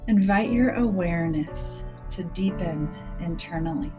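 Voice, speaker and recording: A woman leading a guided meditation as a psychologist, speaking in a gentle, measured, soothing manner with deliberate pauses. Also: fairly low pitch, lo-fi sound. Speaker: clinical psychologist guiding a meditation. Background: music.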